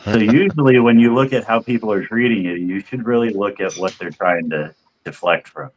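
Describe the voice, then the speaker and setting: A man's voice: fairly low pitch, brisk, lo-fi sound. A man hosting a casual stream chat, joking and provocative, laughing often. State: high. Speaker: stream host.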